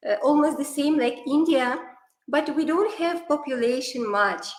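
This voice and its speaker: A woman's voice: high-pitched, lively intonation, normal speed, reverberant. A woman from Kazakhstan with a Kazakh accent, a native Kazakh speaker, telling stories in non-native English with many fillers as an interview guest. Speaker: guest, classical dancer. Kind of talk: online interview.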